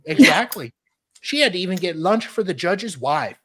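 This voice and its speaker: A woman's voice: lowish voice, very animated, moderate pace, a bit bright, breathy edge. A woman co-hosting with casual, sarcastic comic banter and dramatic reading. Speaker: co-host.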